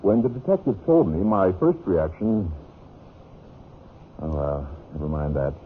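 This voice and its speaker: A man's voice: low voice, very animated, measured pace, breathy edge, full character voice. A man playing a wronged husband and genial but pointed business partner in a vintage radio drama. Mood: feigned friendliness. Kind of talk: 1950s radio drama acting. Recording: muffled, hissy old radio recording.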